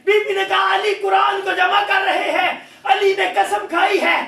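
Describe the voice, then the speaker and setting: High-pitched man declaiming a lament. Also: level tone, a little slow. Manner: declaiming and shouting with lamenting emphasis. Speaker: mourning reciter. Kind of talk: impassioned mourning sermon recitation. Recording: echoey hall from far off, faint crowd.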